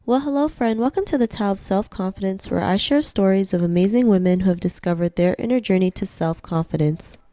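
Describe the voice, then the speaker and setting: A woman's voice: mid-range voice, fairly quick, few pauses, dark tone. A young woman hosting an interview podcast, upbeat and formulaic in her questions. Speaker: podcast host.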